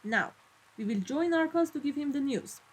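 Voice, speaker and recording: A woman playing an amused, ruthless vampire mafia boss in a scripted ASMR roleplay, speaking in a deliberate, theatrical, condescending way. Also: sits high, lively intonation. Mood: amused, intrigued. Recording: voice over background music.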